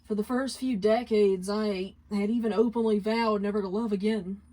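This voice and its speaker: A composed male demon played by a woman speaks in a soft, measured way at a middle pitch for a female voice. He is serious, protective and tender, a character in a dramatic fan story read aloud. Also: steady tone, lightly acted, low-quality recording. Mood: reflective. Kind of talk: fanfiction reading.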